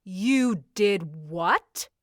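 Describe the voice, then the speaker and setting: A woman reading as a stern, protective young gentleman in a period story, formal and insistent. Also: medium pitch, expressive, extremely slow, dry recording, clean studio sound. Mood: alarmed, concerned. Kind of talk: audiobook-style character reading.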